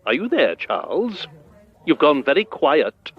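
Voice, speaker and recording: A prickly, arch British theatrical agent on a dull-sounding phone line. His voice is fairly high for a man and swings about with florid, pompous sarcasm in radio drama style. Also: very animated, unhurried, husky, full character voice. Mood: teasing, arch. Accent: British English. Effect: telephone voice. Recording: muffled phone-call sound.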